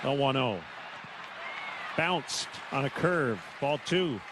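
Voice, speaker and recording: A man calling live baseball on the radio in clipped, measured phrases with pauses between plays. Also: mid-range voice, animated, crowd noise. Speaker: baseball play-by-play announcer. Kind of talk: live radio play-by-play commentary. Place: stadium broadcast booth. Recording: noisy stadium radio broadcast.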